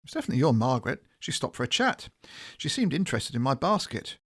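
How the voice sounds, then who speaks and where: A man's voice: medium pitch, sing-song, fast, fairly bright tone, slightly rough, heavily acted. Man acting a playful shop worker, swooping delivery. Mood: teasing. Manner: playful, teasing. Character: chatty, teasing male shop worker, easily bored. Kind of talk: audio drama dialogue.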